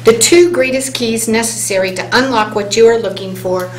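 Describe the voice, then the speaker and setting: A woman on a hypnosis conference panel, speaking earnestly and reading an inspirational passage aloud, slowing down on key words. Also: on the high side. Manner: earnest, inspirational, speeds up and slows for emphasis. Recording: roomy hall sound, faint hum.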